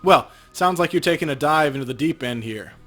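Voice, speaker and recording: A man's voice: on the high side, expressive, brisk, fairly bright tone, faint music behind. Man reading as a laid-back, cocky street shaman. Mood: easygoing.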